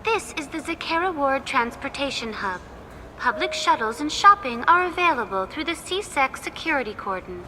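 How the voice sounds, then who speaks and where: A courteous artificial guide in a game, high and melodic. She recites information formally and precisely over clear background noise. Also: animated, normal speed, sharp tone, rough recording. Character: polite female virtual guide, helpful impersonal public information program. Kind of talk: scripted public announcement.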